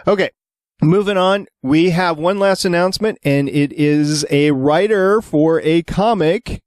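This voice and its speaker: A man's voice: fairly high pitch, a little slow, clear, resonant tone, dry recording, clean studio sound. A man hosting a fan podcast, chatting casually in an easygoing, agreeable way as he moves the show along. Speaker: podcast host. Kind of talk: casual chat, moderating segments.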